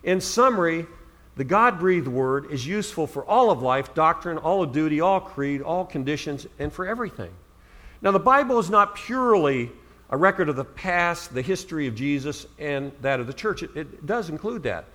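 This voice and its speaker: Middle-aged pastor guy from ohio preaching, high bright voice, earnest, punches key words. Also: expressive, moderate pace. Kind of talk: sermon.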